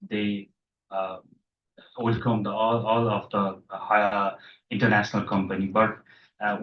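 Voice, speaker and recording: A man from Nepal asking a halting question from the floor of a panel, with many fillers and restarts. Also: fairly low pitch, a little hiss or hum. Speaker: audience member asking a question. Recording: roomy hall sound from far off, dull.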